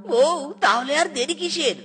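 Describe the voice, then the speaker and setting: A woman's voice: sits high, expressive, moderate pace, fairly bright tone. A woman voicing a whiny, gullible male fox husband in a cartoon, with exaggerated exclamations. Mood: eager. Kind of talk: cartoon voice acting. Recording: cartoon music behind voice.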